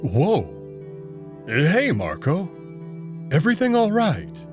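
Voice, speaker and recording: Slow, gentle wolf emperor voiced by a man. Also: fairly high pitch, huge pitch swings, pitched above the performer's own voice, heavily acted. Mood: warm, concerned. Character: large gentle male alien wolf, soon-to-be emperor. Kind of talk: visual novel character dialogue. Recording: music behind the voice.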